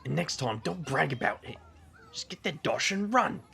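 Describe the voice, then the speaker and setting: A man playing a gruff, sarcastic British magician in an audio drama, with a gravelly voice and slangy, grumbling delivery. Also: a little high, big pitch swings, normal speed, bright, forceful tone, a little hiss or hum, heavily acted. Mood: gruff teasing.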